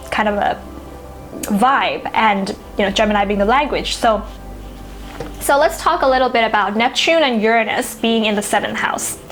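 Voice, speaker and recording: A woman's voice: a little high, low-quality recording. A woman whose first language is Thai, an astrologer musing in casual talk to camera, full of "you know" and "like".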